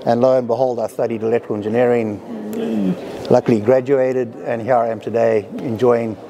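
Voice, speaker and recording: A middle-aged man who grew up in South Africa, a company president giving a relaxed conference interview and explaining technical matters step by step with some self-deprecating humor. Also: mid-range voice, a little fast, crowd noise, poor audio. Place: conference hall.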